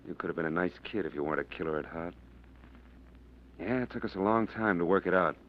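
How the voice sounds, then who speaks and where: An actor playing a blunt, hard-bitten FBI agent with clipped, commanding lines, in 1940s radio acting style. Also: male voice, low voice, quick pace, a little hiss or hum, close to an everyday voice. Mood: cold. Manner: clipped, commanding, tough-guy talk. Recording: dull lo-fi old radio broadcast.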